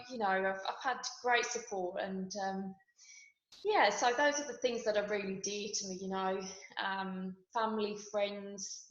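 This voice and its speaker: A woman in her forties with a West Midlands accent, chatting casually as an interview guest, with many ums and restarts. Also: medium pitch. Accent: West Midlands English. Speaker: guest, nurse and skincare founder. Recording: echoey room, dull lo-fi sound.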